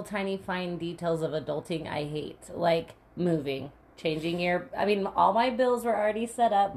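A woman's voice: lowish voice, a little mellow. A woman co-hosting a casual podcast, rambling, self-deprecating and laughing often. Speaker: podcast co-host.

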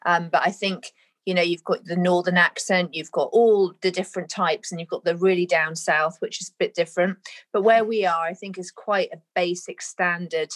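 A woman's voice: lowish voice, steady tone, normal speed. A woman in her late forties from southern England with a southern English accent, chatting as an interview guest in a friendly, rambling way. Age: 40s. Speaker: guest, stylist. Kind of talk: casual interview chat.

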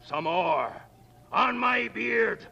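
Gruff old man, gravelly and slow, muffled old radio play. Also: high voice, expressive, pushed and bright, exaggerated voice. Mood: gruff, menacing. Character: gruff, menacing old bearded neighbour man. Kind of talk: old-time radio drama acting.

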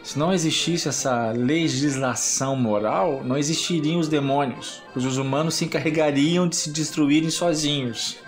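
A man's voice: fairly high pitch, fairly even intonation, moderate pace, few pauses, soft background music, close to an everyday voice. An actor playing a cynical, mocking male demon who speechifies with sardonic disdain for humans in an audio drama.

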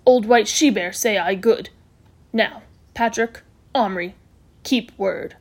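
A woman voicing a proud, terse male warrior in a storybook, speaking slowly in clipped broken sentences. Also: mid-range voice. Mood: grudging respect. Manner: slow, clipped broken speech. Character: calm, proud, terse man, a tiny warrior.

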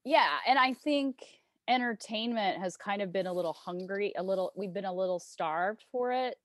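A woman's voice: mid-range voice, normal speed, no room sound. A woman hosting a podcast, asking questions in a conversational interview style.

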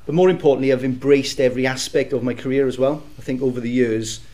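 A man from South Wales speaks with a clear Welsh accent as a guest on a career podcast. His voice sits at an ordinary middle pitch. He talks in a relaxed, reflective way and laughs easily as he goes. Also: fast talker. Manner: warm, reflective storytelling with easy laughs. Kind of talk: casual interview chat.

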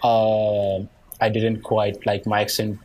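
Low-voiced young man, light Nigerian accent. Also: monotone, takes it slowly, darkish tone, a little hiss or hum. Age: young adult. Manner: rambling, heavy on "like" and "yeah". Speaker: guest. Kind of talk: casual conversation.

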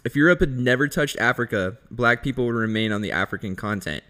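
A man's voice: mid-range voice, lively intonation, fast, pushed and bright. A man hosting a podcast in casual conversation, upbeat and slangy. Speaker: podcast host.

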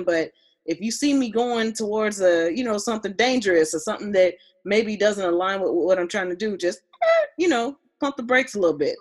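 A woman co-hosts a relaxed conversation, her voice at an everyday middle pitch. She talks freely and playfully. She teases her partner with an easy looseness. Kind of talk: casual conversation.

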